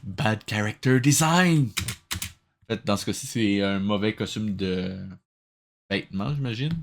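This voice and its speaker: Homme québécois au ton sarcastique. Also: male voice, fairly low pitch, measured pace, noisy background. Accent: Quebec French. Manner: sarcastic, nitpicking, mixes in English phrases. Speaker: host of a cartoon review show. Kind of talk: sarcastic reaction commentary.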